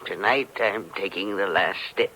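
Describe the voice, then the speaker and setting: An actor playing a stern, guarded older professor in an old radio mystery, with a brusque, authoritative manner. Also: male voice, low voice, moderate pace, heavy background noise, close to an everyday voice. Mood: guarded, curt. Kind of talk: 1940s radio mystery acting.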